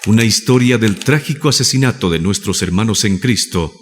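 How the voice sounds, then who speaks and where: A man's voice: on the low side, a little fast. A man solemnly announcing a radio drama from a script. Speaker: program announcer. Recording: long reverb, faint noise.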